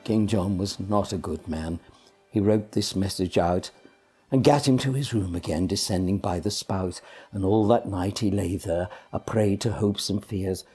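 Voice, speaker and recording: A man with a low voice reads a children's poem aloud as an expressive storyteller. His tone is dark and husky, and he shapes the verse with measured drama over music. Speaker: poetry reader. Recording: music behind the voice.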